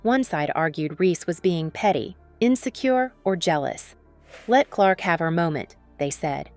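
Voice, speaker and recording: A woman's voice: fairly low pitch, expressive, unhurried, a little mellow, faint music behind. A woman delivering a dramatic, scripted sports news narration.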